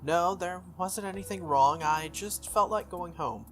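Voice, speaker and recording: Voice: medium pitch, measured pace, fairly bright tone, faint music behind, pitched above the performer's own voice, lightly acted. A game player voicing an easygoing teenage schoolboy who asks questions in a casual, hesitant way.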